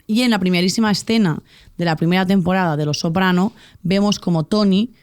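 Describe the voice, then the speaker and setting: A woman's voice: lowish voice, brisk, clean tone, no room sound, clean studio sound. A woman hosting a podcast, delivering a scripted cultural commentary in an essay-like way with the odd filler. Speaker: podcast host.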